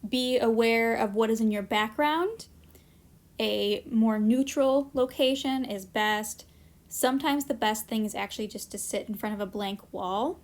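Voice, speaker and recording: High-voiced woman giving an informal workshop. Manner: conversational, practical explaining with casual asides. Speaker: library workshop presenter. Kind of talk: informal instructional presentation.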